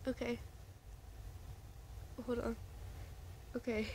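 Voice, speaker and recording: A woman's voice: a little high, flat delivery, very slow, darkish tone, a little hiss or hum. A woman casually chatting to her viewers in a rambling vlog, often restarting her sentences. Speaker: vlogger.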